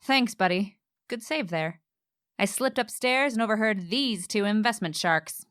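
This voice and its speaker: A woman's voice: medium pitch, a little slow, fairly bright tone, natural voice. An actress playing a wry, exasperated single woman homeowner, narrating and acting out her romantic mishaps in a radio play.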